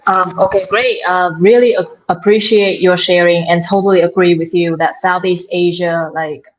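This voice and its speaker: A woman's voice: lowish voice, fairly even intonation, normal speed, lo-fi sound. A woman hosting a panel over a video call, upbeat and encouraging. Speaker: host.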